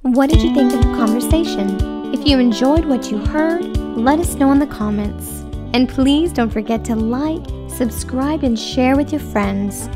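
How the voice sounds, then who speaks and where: A woman's voice: high-pitched, a little slow, few pauses. A woman reading a scripted podcast outro as the announcer. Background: music.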